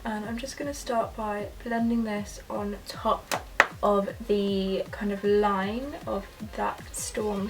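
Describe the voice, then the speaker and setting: A woman's voice: mid-range voice, recorded from a distance. Chatty woman, slightly off-mic. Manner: chatty and enthusiastic, lots of "like" and "so". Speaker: beauty vlogger. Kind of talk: casual makeup tutorial narration.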